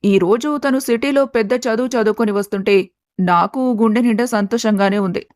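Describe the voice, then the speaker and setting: A woman's voice: a little high, normal speed, a little mellow, no echo, studio quality, lightly acted. A woman playing a practical, caring middle-aged village mother in a dramatised story, motherly and chiding. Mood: calm, content. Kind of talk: dramatised moral story acting.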